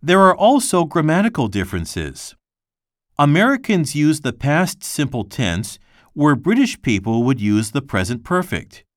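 A man reading a textbook passage aloud for a language lesson, slow and careful, with clear pauses between phrases. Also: on the high side, very animated, dry recording, studio quality. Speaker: textbook reader.

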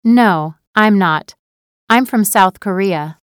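An actress playing Beth, a polite young woman who is a new student, speaking with careful, clear pronunciation in a scripted lesson dialogue. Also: mid-range voice, slow, no room sound, studio quality, natural voice.

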